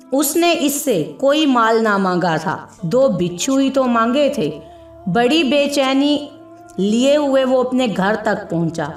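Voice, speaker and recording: A woman reading a scripted moral story as a narrator, in a measured, dramatic storytelling manner. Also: on the high side. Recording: echoey voice with music behind.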